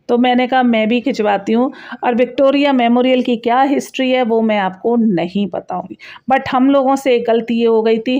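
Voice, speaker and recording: A woman's voice: on the high side, dark tone. A woman giving a casual, chatty travel vlog voice-over, explaining sights directly to viewers. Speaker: travel vlogger narrating a sightseeing tour. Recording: dull, muffled lo-fi sound.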